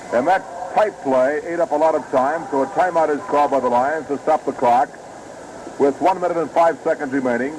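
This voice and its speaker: An American man calling football play-by-play on 1950s radio in a formal, detailed and dramatic manner, on a noisy vintage broadcast recording. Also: fairly high pitch. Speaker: sports radio play-by-play announcer.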